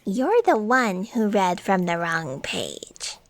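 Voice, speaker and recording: A woman's voice: medium pitch, very animated, slow, no echo. A woman playing a wry, matter-of-fact female character in a comic sci-fi audio drama.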